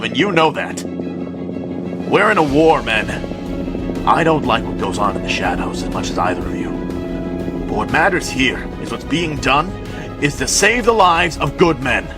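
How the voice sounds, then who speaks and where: Man acting an earnest, rousing hero captain. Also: on the high side. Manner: firm, rousing oratory. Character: earnest, principled army captain superhero rallying his team. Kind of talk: audio drama acting.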